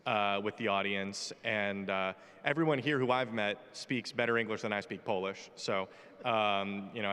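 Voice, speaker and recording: A man's voice: mid-range voice, a bit bright. A man in his twenties speaking on a conference panel in a brash, joking, opinionated way. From United States. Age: 20s. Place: large conference hall stage. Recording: reverberant hall.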